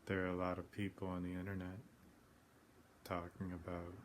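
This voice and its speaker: Man, meditation guide, flat even delivery, long pauses, noticeable background noise. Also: low voice. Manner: unhurried, plain-spoken, long pauses between phrases. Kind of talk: guided meditation, spoken softly paced. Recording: clearly noisy background ambience.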